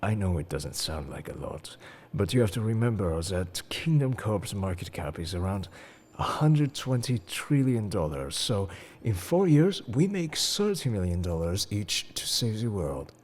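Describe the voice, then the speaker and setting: A man playing a suave, enigmatic, quietly world-weary secret agent in an intimate, flirtatious audio roleplay, speaking to the listener in a coaxing, theatrical way. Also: fairly low pitch, big pitch swings, measured pace. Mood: playful, coaxing. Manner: smooth-talking, coaxing, theatrical. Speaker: voice actor in fan audio roleplay.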